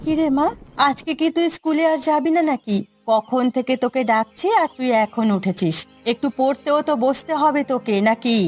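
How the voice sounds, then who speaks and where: Woman as a high-voiced caring village mother. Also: moderate pace, darkish tone, faint music behind, close to an everyday voice. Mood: chiding. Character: caring young village mother, chiding yet soothing. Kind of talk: Bengali moral cartoon dubbing.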